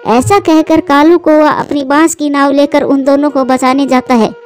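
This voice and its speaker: A woman's voice: high-pitched, steady tone, moderate pace, faint music behind. A woman narrating a scripted children's animal fable as a storyteller.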